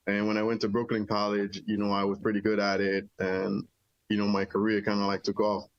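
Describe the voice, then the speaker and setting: A man's voice: lowish voice, fairly quick, dry recording, muffled recording. A middle-aged man from Jamaica, an army veteran telling his story in a casual interview, rambling easily with many fillers. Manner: relaxed, rambling with many "you know" fillers.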